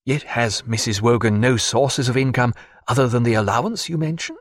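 A man voicing a guarded, formal physician who speaks in a measured, dry, precise way. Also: mid-range voice, no room sound, close to an everyday voice. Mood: probing. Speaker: doctor.

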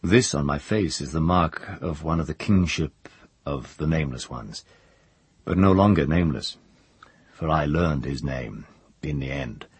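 A man voicing a grave, calm captive wizard in an audiobook, speaking quietly and gently. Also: extremely low pitch, unhurried, husky, no echo, full character voice. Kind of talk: audiobook character reading.

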